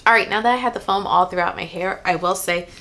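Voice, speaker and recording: A woman with a hoarse, cold-roughened voice that sits low and carries a gravelly fry. She talks in a chatty, methodical way as a hair vlogger, and she reads product labels aloud. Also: fast, a bit bright. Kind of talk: casual product review while styling.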